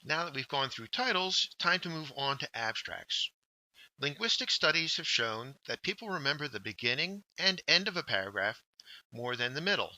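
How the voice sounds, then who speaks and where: A man narrating a scripted instructional workshop, explaining step by step with deliberate emphasis on key words. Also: on the high side, very animated, pushed and bright, no room sound, low-quality recording. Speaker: workshop instructor, journal editor.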